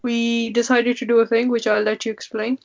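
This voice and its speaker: A woman's voice: fairly high pitch, little pitch movement, brisk, vocal fry. A young woman hosting a casual podcast with her sister, chatty and teasing. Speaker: podcast host. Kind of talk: casual sibling podcast chat. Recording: dull lo-fi podcast audio.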